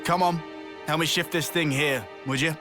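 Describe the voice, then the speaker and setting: An actor playing a blunt, easygoing young soldier with a British accent in a video game cutscene. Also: male voice, fairly high pitch, steady tone, normal speed, music in the background, close to an everyday voice. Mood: impatient, casual.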